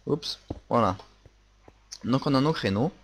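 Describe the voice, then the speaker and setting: A man's voice: mid-range voice, animated, a little slow. Man with a Marseille accent. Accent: Marseille French. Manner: hesitant, many fillers and restarts. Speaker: Minecraft building tutorial host. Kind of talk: casual tutorial narration. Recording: faint noise and clicks.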